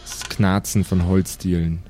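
A man with a fairly low voice leads a tabletop game as game master. He sets scenes in a measured, suspenseful way, leaving dramatic pauses, with music playing behind him. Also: flat delivery, mellow.

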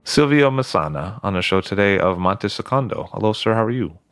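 A man's voice: on the low side, expressive, brisk, music in the background. A man hosting a podcast, reading an ad script evenly and asking interview questions. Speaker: podcast host.

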